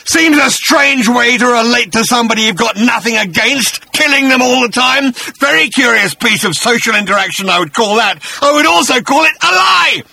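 Shrieking raspy bat monster from a storybook, way up high and yelling, furious and bitter. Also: male voice, lively intonation, moderate pace, hardly any pauses, no echo, exaggerated voice, poor audio. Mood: menacing. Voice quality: rasping, screeching. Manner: shouting, furious, accusing. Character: vengeful mad fat male bat creature. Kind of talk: audiobook character voice.

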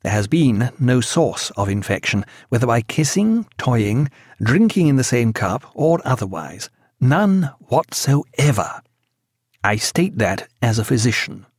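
A man voicing a calm, level-headed ship's physician speaking in measured tones. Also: mid-range voice, slightly rough, no room sound, clean studio sound, heavily acted.

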